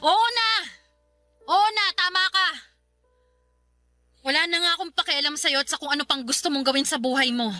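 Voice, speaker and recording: Radio drama role: weary young girlfriend, confrontational, emotionally drained, fairly high voice over soft music. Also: normal speed, long pauses, no echo. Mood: exasperated, angry. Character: weary, irritated young working woman falling out of love. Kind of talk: Tagalog radio drama acting. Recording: music bed behind the voice.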